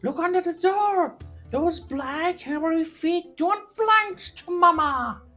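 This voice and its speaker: Tiny goat kids, squeaky, very high, bright, wary and defiant. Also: female voice, slow pace, muffled recording, soft background music, exaggerated voice. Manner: squeaky and shrill, exclaiming.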